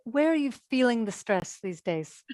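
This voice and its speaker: A patient woman therapist played by an actress, with a high voice captured close and dry. She probes gently and reassures in a measured, naturalistic way, as in a read-through of a stage drama. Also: sing-song, dry recording. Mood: calm, concerned. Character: attentive, patient woman therapist checking on clients. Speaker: actor in a staged play reading.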